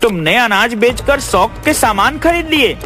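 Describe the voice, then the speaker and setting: A man's voice: very high voice, expressive, a little slow, sharp tone, exaggerated voice. A man playing an honest, kind young village farmer in a cartoon, speaking earnestly and politely. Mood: concerned. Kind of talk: animated Hindi moral-story cartoon acting. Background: faint music.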